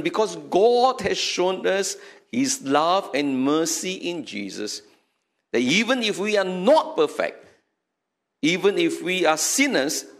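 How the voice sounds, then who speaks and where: An older man with a Singaporean accent, an archbishop giving an earnest sermon into a stand mic in a small chapel. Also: fairly high pitch. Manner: earnest, emphatic, preaching with hand gestures. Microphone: stand mic in front.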